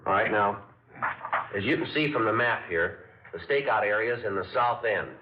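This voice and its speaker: A terse, steady police sergeant, as a man plays him in a vintage radio drama. The voice sits very low, and the delivery stays clipped and businesslike. The sound is tinny and distant, like an old broadcast with music underneath. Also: normal speed, roomy sound, heavy background noise, natural voice. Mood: briefing. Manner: clipped, matter-of-fact delivery. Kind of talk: 1950s radio drama acting with narration.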